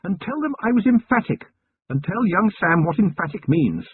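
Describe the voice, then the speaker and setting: Man doing a poised upper-class wife with lively, lilting speech, husky voice, thin phone-like sound. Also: high-pitched, moderate pace, voice pushed up. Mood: bossy. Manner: poised, gently bossy. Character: refined aristocratic wife, gently commanding woman.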